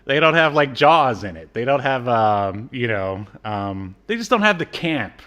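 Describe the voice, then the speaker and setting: A man with a medium-pitched voice talks as a podcast host in easy, casual banter. His manner is playful and informal, like a chat between friends. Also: very animated, unhurried, a bit bright. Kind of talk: casual banter about old cartoons.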